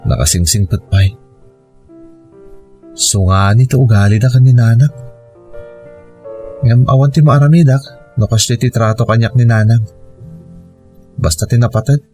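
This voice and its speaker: A man's voice: medium pitch, a little slow, pauses often, mellow, natural voice. A man with an Ilocano accent acting a dutiful, long-suffering grown son in a radio drama, earnest and plaintive. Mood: hurt, resigned. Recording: music bed under the voice.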